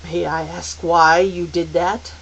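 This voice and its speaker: A woman's voice: lowish voice, little pitch movement, slow pace, lo-fi sound, slight background noise. A woman voicing a cold, sly man in a chair who speaks blandly and taunts children.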